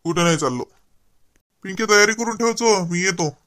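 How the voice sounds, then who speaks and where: A man playing a hurried, evasive village husband and son in a cartoon comedy. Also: high voice, fairly bright tone, no echo, clean studio sound. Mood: brisk, evasive.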